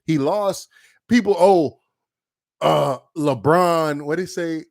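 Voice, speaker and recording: A man co-hosting a podcast in casual chat, talking in an animated, emphatic way with plenty of swearing. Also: fairly high pitch, slow pace, vocal fry, dry recording, studio quality. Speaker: podcast co-host.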